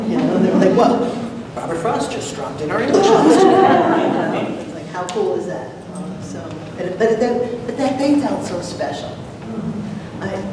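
Older female co-host, informal remarks, distant mic with clear room noise. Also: medium pitch, heavy reverb, in a large hall. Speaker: event co-host. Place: lecture room with seated audience. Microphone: distant camera microphone.

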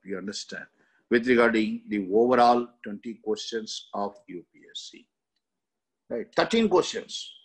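An older man with an Indian accent and a husky voice of medium pitch. He teaches like an exam coach, going through questions in short halting phrases and often repeating himself. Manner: halting, repetitive, with frequent "okay" tags. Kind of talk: lecture explaining exam questions. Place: indoor room, seated.

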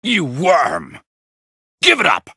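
An arrogant, godlike male villain from a fighting game. His voice is very sharp and forceful, with a creaky edge. He delivers clipped, menacing, condescending lines extremely slowly. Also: a little high, huge pitch swings, dry recording, studio quality, full character voice. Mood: aggressive, shouting. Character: arrogant, cold male supervillain with god complex.

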